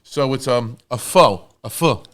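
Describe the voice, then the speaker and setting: A man co-hosting a casual podcast, relaxed, asking questions in a halting way. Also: medium pitch. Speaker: podcast co-host.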